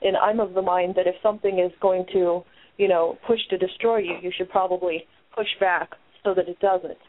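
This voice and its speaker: A woman's voice: fairly low pitch, fairly even intonation, normal speed, noisy background. Woman host on a tinny line. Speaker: podcast host. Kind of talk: casual call-in discussion. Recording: tinny phone-line audio.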